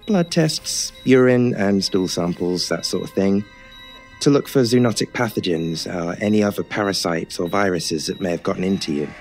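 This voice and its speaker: A low-voiced male nurse in a radio horror play, speaking over music. He is polite and wordy, with a mild bedside manner and a fondness for gentle joking. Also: moderate pace. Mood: polite, chatty. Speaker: voice actor in an audio drama. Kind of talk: old time radio horror drama acting.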